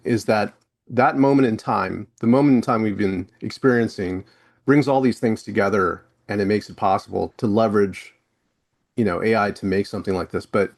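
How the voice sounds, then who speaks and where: A male podcast host with a fairly low voice talks in a relaxed, conversational way. He recaps what his guest said and then steers toward his next question. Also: vocal fry. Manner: conversational, sums up and leads into questions. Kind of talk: casual interview talk.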